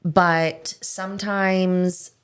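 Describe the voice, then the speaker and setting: A woman, a guest on a studio podcast, answering in a relaxed way full of "like". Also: lowish voice, extremely slow, clean tone. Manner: relaxed, lots of "like" fillers. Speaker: guest, esthetician. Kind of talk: casual interview answers.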